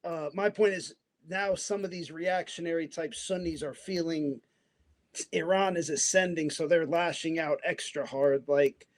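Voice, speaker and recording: A man who grew up in the United States, talking politics on a podcast in a rambling way, full of restarts. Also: a little high. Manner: rambling, many restarts and asides. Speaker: podcast guest. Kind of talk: casual political discussion.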